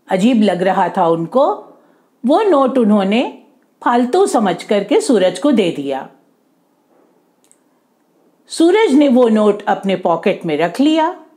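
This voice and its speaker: A woman's voice: medium pitch, a little mellow. A woman telling a moral story to children, often rephrasing herself and mixing in English words. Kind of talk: oral moral storytelling for kids.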